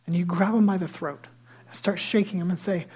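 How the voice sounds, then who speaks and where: A man with a high, light voice preaching a sermon as a pastor, telling stories lively and dropping into hushed asides. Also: a little fast, breathy edge. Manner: lively storytelling that drops to hushed, near-whispered asides; self-deprecating and conversational.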